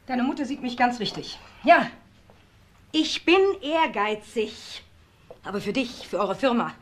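An actress playing an ambitious, defiant daughter-in-law in a German crime radio drama, sharp-tongued and emphatic. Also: female voice, a little high, lively intonation, a little slow, a little hiss or hum. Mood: defiant, scornful.

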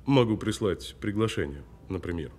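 An actor playing a cool, sardonic detainee who needles a policeman with deliberate, mocking delivery in an audio drama. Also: deep voice, lively intonation, darkish tone. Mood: calm, sardonic. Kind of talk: naturalistic audio film drama acting.

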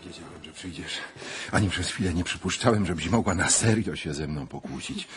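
An actor playing a cold, calculating, irritable fiancé in a radio drama, speaking with measured, formal self-assurance. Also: male voice, deep voice, over music, lightly acted. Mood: smug, weary. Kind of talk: 1990s Polish radio drama acting.

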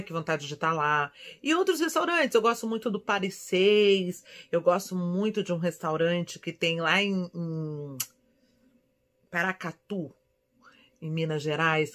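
Expressive Brazilian woman chatting affectionately. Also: lowish voice. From São Paulo, Brazil. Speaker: vlogger. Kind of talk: casual chat answering viewer questions. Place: small indoor room at home.

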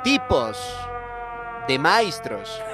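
Young guy doing a comedy monologue on radio, takes his time, loud music behind him. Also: a little high, wildly swooping pitch, very slow. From Bolivia. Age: young adult. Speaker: radio host, comic monologist. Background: loud music bed.

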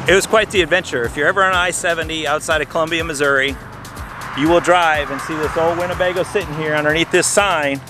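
A man's voice: fairly high pitch, fairly even intonation, quick pace, music in the background. Chatty middle-aged man vlogging from a car. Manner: chatty, teasing, laughs often. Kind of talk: casual road trip vlog. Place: inside a car, partly outdoors by a highway.

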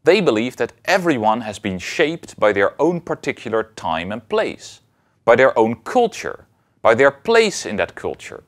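A man in his thirties giving a scripted lecture as a university teacher, with deliberate, emphatic phrasing. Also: medium pitch, big pitch swings. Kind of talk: scripted educational lecture to camera.

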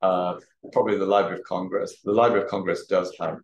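A man giving an academic talk and answering questions, speaking haltingly and often repeating words. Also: low-pitched, steady tone, highs cut off, slight background noise. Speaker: guest lecturer. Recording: roomy, echoey sound.

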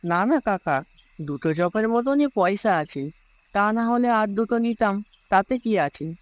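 A man's voice: high-pitched, expressive, unhurried, no echo. A man voicing a timid young villager who narrates and acts out a cartoon ghost story. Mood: wary, worried. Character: timid, worried young village man telling his tale. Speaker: narrator and character.

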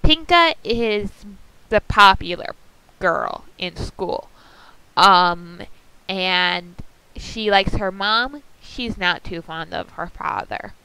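Slow, bright-voiced woman making announcements. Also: medium pitch, expressive, creaky, clear, resonant tone, dry recording, rough recording. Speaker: amateur animator presenting a voice audition call. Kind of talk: casual video update with announcements.

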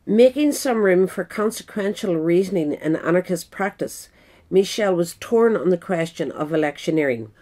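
A woman reading scripted narration in a measured, formal manner, as the narrator of a pamphlet. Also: on the low side, animated, warm tone.

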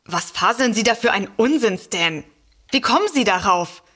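An actress playing a haughty hostess with literary enthusiasms in a comedic audio drama. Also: female voice, medium pitch, lively intonation, moderate pace, a bit bright. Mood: indignant.

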